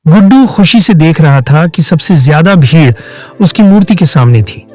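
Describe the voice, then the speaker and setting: A man's voice: on the high side, animated, moderate pace, a little mellow, faint music behind. A man narrating a scripted moral story for children as its storyteller.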